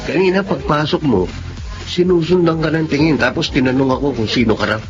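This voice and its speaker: A man's voice: a little high, a little fast, highs cut off, soft background music, close to an everyday voice. A man playing a friendly, nosy coworker in a drama, speaking with a Filipino accent. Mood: friendly, coaxing. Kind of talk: Filipino dramatic radio-style acting.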